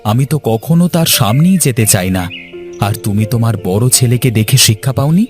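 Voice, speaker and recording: A man's voice: mid-range voice, lively intonation, brisk, a little mellow, soft background music, natural voice. A man voicing a poor, quarrelsome younger son in a cartoon, speaking in a complaining, bickering way. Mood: grumbling. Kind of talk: cartoon voice acting.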